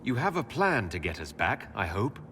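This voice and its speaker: An actor playing a serious, questioning fantasy hero in a video game, speaking in an earnest, direct way. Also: male voice, on the low side, very animated, normal speed, sharp tone, slight background noise, full character voice. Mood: concerned. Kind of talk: video game character acting.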